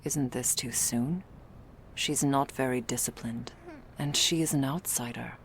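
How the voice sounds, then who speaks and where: A woman's voice: very deep voice, measured pace, a little hiss or hum. A woman playing a loyal, wary female vampire underling in an ASMR roleplay, frank but deferential toward her boss. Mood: wary deference.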